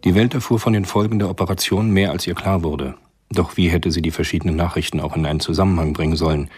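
Brief: male narrator for a radio thriller, very low register, husky, literary delivery. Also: fast, darkish tone, soft background music.

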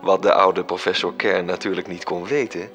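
A man narrating a literary radio drama in a measured, serious storytelling manner. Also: low voice, fairly even intonation, fairly bright tone, faint music behind.

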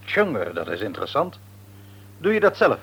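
An actor playing a reasonable middle-aged father in a radio play, gently probing with mild irony. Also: mid-range voice, wildly swooping pitch, normal speed, breathy edge, heavily acted. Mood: probing, ironic. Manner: questioning, mildly ironic. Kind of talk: Dutch radio play acting.

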